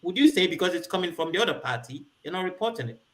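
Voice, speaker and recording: Man, higher voice, bright and tense, earnest questioner. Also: lively intonation, fast talker. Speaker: panel participant. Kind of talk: casual discussion.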